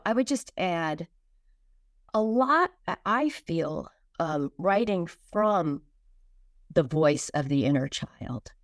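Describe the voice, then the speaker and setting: Woman, very slow delivery, reflective, deliberate phrasing, dry close recording. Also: on the low side, no echo. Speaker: children's book author, podcast guest. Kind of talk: reflective interview conversation.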